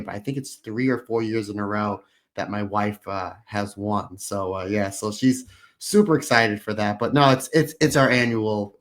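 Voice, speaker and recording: A man in his thirties or forties co-hosting a podcast, a little hoarse, telling long upbeat stories in casual chat. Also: on the low side. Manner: rambling, upbeat storytelling with frequent restarts. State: slightly hoarse. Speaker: podcast co-host.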